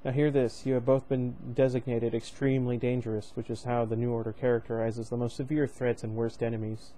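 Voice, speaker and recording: A man's voice: mid-range voice, little pitch movement, fairly quick, dark tone, natural voice, low-quality recording. A man putting on a nasal, smug teenage snitch. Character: snide prim teenage boy informer. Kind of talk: reading a novel aloud.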